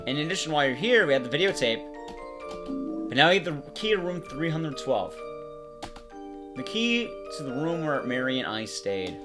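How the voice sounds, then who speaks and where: A man's voice: fairly high pitch, very animated, normal speed, pauses often, music in the background. A man giving casual video game commentary, explaining things to the viewer in short phrases.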